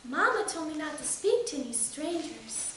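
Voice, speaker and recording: A woman's voice: high-pitched, sing-song, moderate pace, a bit bright, very echoey, far from the mic, a little hiss or hum, low-quality recording. A woman voicing a sweet, naive and polite little storybook girl with wide-eyed innocence. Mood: timid.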